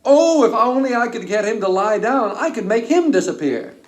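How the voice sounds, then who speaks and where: A man's voice: very high voice, big pitch swings, normal speed, pitched above the performer's own voice, low-quality recording. A man voicing a father woken from sleep, speaking in an alarmed, breathless way. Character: startled father, just woken.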